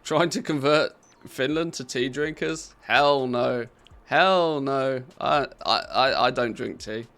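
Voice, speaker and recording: A man's voice: medium pitch, slow pace, hardly any pauses, a bit bright. A British man chatting casually on a live game stream, reading out and answering viewers' questions with easy laughter. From Britain.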